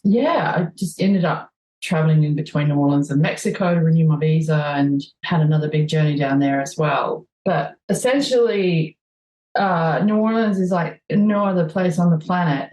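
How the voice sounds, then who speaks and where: Deep-voiced woman with a broad Australian accent. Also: a little mellow, roomy sound, rough recording. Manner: rambling storyteller, laughs easily, many ums. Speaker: guest musician. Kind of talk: casual conversation.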